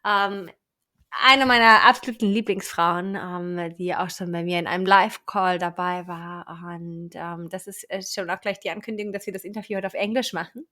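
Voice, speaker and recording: A woman's voice: fairly low pitch, steady tone, brisk, bright, forceful tone. A woman with a German accent hosting a podcast, effusive and bubbly, laughing often. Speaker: podcast host. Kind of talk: podcast interview.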